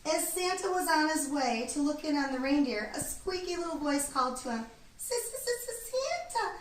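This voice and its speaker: A woman reading a children's storybook aloud in an expressive, deliberate storytelling manner. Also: sits high. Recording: echoey room, distant mic, background noise.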